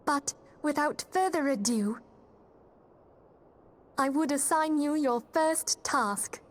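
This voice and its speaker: A fantasy game's leader of a secret order, a woman with a fairly high voice. She speaks formally and courteously, in measured phrases, with composed authority. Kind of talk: video game cutscene acting.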